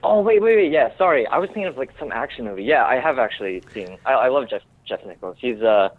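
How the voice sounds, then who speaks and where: A man chatting casually as an interviewed screenwriter, informal and self-deprecating, often halting as he searches for words. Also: mid-range voice, lively intonation, dry recording, phone-quality sound. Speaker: screenwriter guest.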